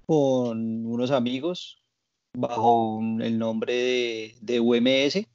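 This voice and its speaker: Colombian male guest, dark mellow timbre, reflective, conversational, close dry sound. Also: medium pitch, steady tone, takes it slowly, no room sound, highs cut off. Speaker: interview guest, graphic designer and muralist. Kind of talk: casual conversational interview.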